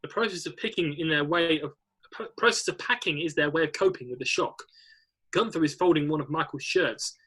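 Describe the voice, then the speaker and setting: A man's voice: on the high side, a little fast, fairly bright tone, breathy edge. A man narrating stage directions in a scripted screenplay reading.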